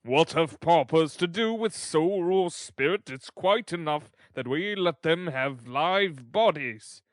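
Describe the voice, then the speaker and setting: A man's voice: on the high side, lively intonation, takes it slowly, dry recording, voice pushed up. A man voicing a pompous, stern parish beadle in a storybook reading, declaiming with self-important emphasis. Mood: stern, indignant.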